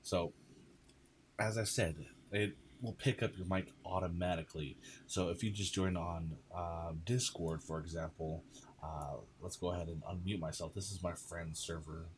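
A man giving a casual, informal software tutorial, chatting to viewers as he goes. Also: low voice, steady tone, normal speed, a little hiss or hum. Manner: informal, addresses viewers as guys. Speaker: video creator, tutorial host. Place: indoors at a computer.

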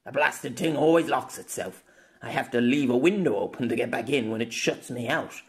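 A man's voice: medium pitch, moderate pace, natural voice. A brash swordsman nearing sixty, voiced by a male narrator, speaks in an audiobook. He is defiant and cocky, taunting whoever stands in his way. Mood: smug.

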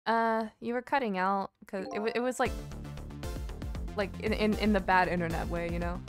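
A woman's voice: medium pitch, steady tone, normal speed. A woman chatting casually and playfully while playing a game on a live stream. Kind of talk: casual gaming stream chat. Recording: game music behind voice. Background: game music.

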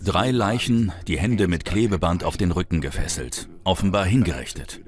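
A man's voice: sits low, normal speed, soft background music, natural voice. An actor voicing a male police investigator recounting a case in a dubbed documentary interview. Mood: matter-of-fact.

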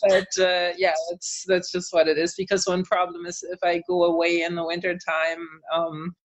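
A woman's voice: fairly low pitch, flat delivery, normal speed, a little hiss or hum, low-quality recording. A woman from Germany with a German accent, chatting as a guest in an interview, thoughtful with frequent fillers and laughs. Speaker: author and translator guest. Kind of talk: casual interview chat.

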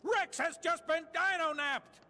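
Tiny toy soldier sergeant: very high, bright, barked military reports, urgent and dutiful. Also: male voice, measured pace, exaggerated voice. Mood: urgent alarm. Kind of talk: animated video game dialogue.

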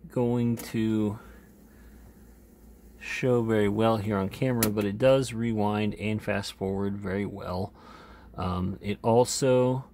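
A man giving a casual, unscripted product demonstration as an online seller, plainspoken and a bit halting. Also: fairly low pitch, creaky, darkish tone, slight background noise.

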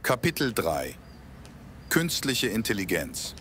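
A man's voice: lowish voice, huge pitch swings, slow pace, a bit bright, husky, exaggerated voice. A man narrating a humorous scripted audio drama in a storybook style. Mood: measured storytelling.

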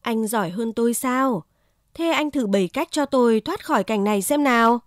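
A woman's voice: fairly high pitch, lively intonation, normal speed, no room sound, clean studio sound, close to an everyday voice. A woman voicing a humble, weary, naive plough buffalo in a cartoon fable. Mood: pleading. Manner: resigned, meek.

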